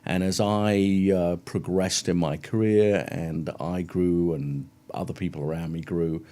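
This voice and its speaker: A middle-aged man with a London English accent, a guest chatting casually and warmly about his career in an interview. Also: low voice, steady tone, a little slow. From England. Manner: friendly, chatty, brief laughs.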